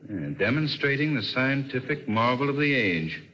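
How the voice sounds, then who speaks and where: An actor playing an urbane, curious gentleman sleuth in an old radio drama, polite and wry. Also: mid-range voice, animated, moderate pace, a little hiss or hum. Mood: curious, amused. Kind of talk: 1940s radio acting. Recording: dull vintage radio recording.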